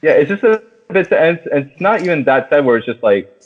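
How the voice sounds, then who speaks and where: A man's voice: fairly high pitch, very animated, quick pace, slight background noise. A man hosting a podcast in a casual, enthusiastic, sweary chat about a film, full of filler words. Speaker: podcast host. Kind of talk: casual movie review chat. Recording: thin phone-like audio.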